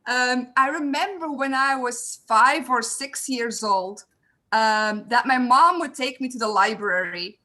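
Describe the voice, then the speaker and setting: A young woman with a Belgian accent talks in a friendly, eager way, dotting her speech with "um" as she introduces herself to a panel audience. Her voice sits a little high and sounds bright and clear. It comes through a video call. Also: a little slow. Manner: friendly, eager, with frequent "um" fillers. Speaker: panel host. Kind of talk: online panel self-introduction.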